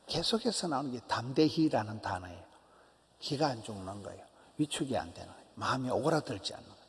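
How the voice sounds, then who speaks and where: A man's voice: medium pitch, fast. A man in his sixties with a Gyeongsang accent, a pastor giving an early-morning sermon in punchy repeated phrases that sometimes drop to a whisper. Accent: Gyeongsang Korean. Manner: animated, emphatic preaching with short repeated phrases and drops to a whisper. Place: church sanctuary. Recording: church hall reverb.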